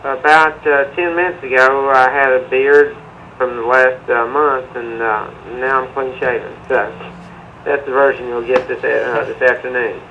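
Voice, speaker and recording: A man from Middle Tennessee, talking about his music in a relaxed, casual way with many pauses and "uh" fillers. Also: mid-range voice, slight background noise, low-quality recording. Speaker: musician guest. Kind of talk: casual interview answers. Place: remote link to a TV studio.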